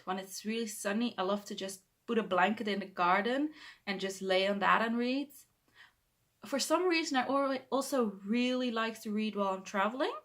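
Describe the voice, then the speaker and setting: A woman's voice: medium pitch, sharp tone. Young Dutch woman, English second language, shy self-correcting vlogger talking alone to camera at home. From Netherlands. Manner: hesitant, self-correcting, laughs shyly. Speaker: booktuber. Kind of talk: casual talk to camera. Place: bedroom.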